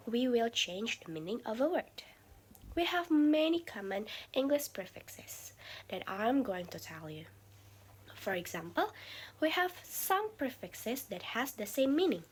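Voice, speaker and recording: Slow, lively-pitched woman presenting a lesson. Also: husky. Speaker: student presenter. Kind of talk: class presentation.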